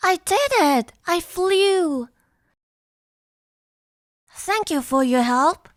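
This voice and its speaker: A woman voicing an eager, determined little female bird in an animated, bouncy children's read-aloud. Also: extremely high pitch, very slow, no room sound, clean studio sound, voice pushed up, heavily acted. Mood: triumphant. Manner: exclamatory, bouncy, wide-eyed.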